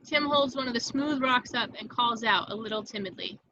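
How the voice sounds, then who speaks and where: Bright, higher-pitched woman reading stage directions. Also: a little fast, lo-fi sound, a little hiss or hum. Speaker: narrator reading stage directions in a table read. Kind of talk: scripted narration of screenplay directions.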